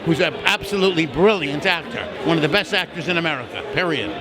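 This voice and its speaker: A man's voice: a little high, lively intonation, quick pace. A man, a theater director, answering questions in an interview. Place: crowded opening-night event. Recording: busy crowd noise around.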